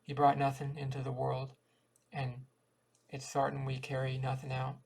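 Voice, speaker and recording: A man's voice: medium pitch, monotone, normal speed, vocal fry, a little mellow, low-quality recording. A man reading a folksy dialect short story aloud as an amateur audiobook reader, often stumbling and repeating words.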